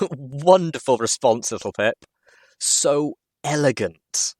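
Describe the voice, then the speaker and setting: A man puts on a sweet, gracious pony mare from a storybook, a singer with elegant airs. The speech is refined and gently polite, recorded dry. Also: medium pitch, lively intonation, slow pace, no room sound, voice pushed up. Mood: teasing.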